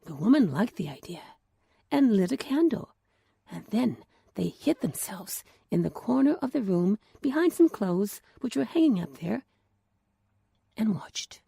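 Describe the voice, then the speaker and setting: A woman reading a scripted children's story as host, in an expressive, deliberate way that drops into hushed tones. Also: medium pitch, creaky, breathy edge, no echo, studio quality.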